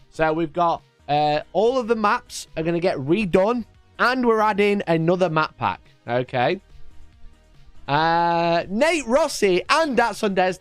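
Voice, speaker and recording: A man hosting a casual live stream, energetic and chatty, with a congested voice from a cold. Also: fairly high pitch, no echo. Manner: energetic and chatty, repeating his greetings. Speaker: livestream host.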